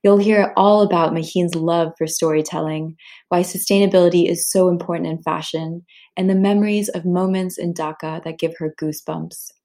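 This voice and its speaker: A woman hosts a podcast, reading a scripted introduction before she turns to her questions. Her voice sits fairly low and sounds mellow, and her delivery is clear and measured. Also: fairly even intonation. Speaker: podcast host.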